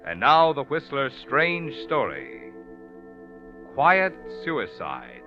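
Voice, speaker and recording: A man's voice: medium pitch, huge pitch swings, drawn-out words. An American man announcing in polished, promotional 1940s radio sponsor style. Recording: muffled vintage radio recording, music behind.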